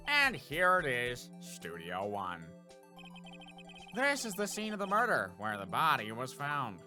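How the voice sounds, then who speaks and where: A man voicing a gruff, folksy police detective from a video game, blustering through a case briefing. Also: medium pitch, wildly swooping pitch, unhurried, over music. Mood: matter-of-fact briefing. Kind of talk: video game character dub.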